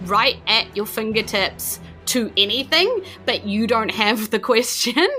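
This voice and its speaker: A woman with a New Zealand accent, narrating as game master in a halting, searching way with many "likes". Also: on the high side, expressive, sharp tone, faint music behind.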